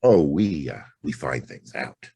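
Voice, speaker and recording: Gravelly, very deep hard-boiled detective. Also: male voice, sing-song, a little slow, warm tone, no room sound, heavily acted. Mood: smooth, coaxing. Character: hard-boiled world-weary male private detective. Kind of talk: noir radio drama narration and dialogue.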